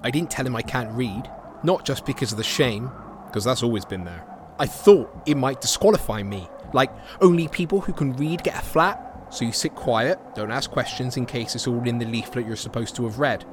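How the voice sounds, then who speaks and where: A man's voice: medium pitch, normal speed, background noise, lightly acted. A young man playing an anxious, vulnerable homeless teenage boy in an audio drama, speaking in a confiding, colloquial way. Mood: worried, confiding. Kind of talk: naturalistic audio drama monologue.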